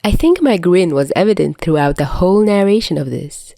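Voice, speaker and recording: A woman narrating fan fiction as an audio reader, in an expressive storytelling style. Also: on the low side, a little fast, gravelly, no echo, studio quality. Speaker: podfic narrator.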